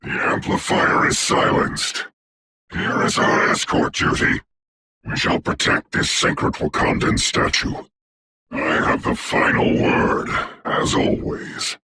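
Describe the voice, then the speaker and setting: A man playing a grave, commanding dark sorcerer for a video game, with a breathy rasp, formal grandiose diction and eerie distorted processing. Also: slow pace, heavy background noise. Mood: solemn, then grim, imperious. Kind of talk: video game hero voice lines.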